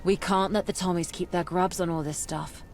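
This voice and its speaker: An actress playing a plucky, adventurous treasure-hunting game companion, jaunty and bossy in a theatrical way. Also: female voice, fairly low pitch, steady tone, normal speed, faint music behind, close to an everyday voice. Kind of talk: video game companion dialogue.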